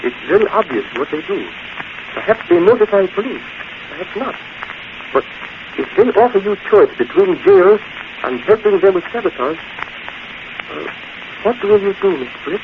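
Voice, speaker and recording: Anxious high-voiced manservant. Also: male voice, big pitch swings. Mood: anxious, urgent. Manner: halting, polite, broken English. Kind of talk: 1940s radio acting. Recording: tinny, noisy 1940s radio recording.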